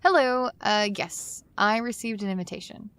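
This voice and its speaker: A woman's voice: medium pitch, moderate pace, fairly bright tone, smooth, no room sound, natural voice. A woman playing a polite, hesitant young woman in an audio drama, speaking tentatively. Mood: nervous, polite. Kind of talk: full-cast audio drama acting.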